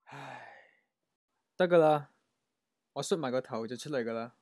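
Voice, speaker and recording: A man's voice: mid-range voice, normal speed, darkish tone, no echo, clean studio sound, lightly acted. A man with a Hong Kong accent playing a melodramatic, boastful, lovestruck gang boss in a comic radio drama. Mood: swaggering. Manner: melodramatic, swaggering. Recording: music under the voice.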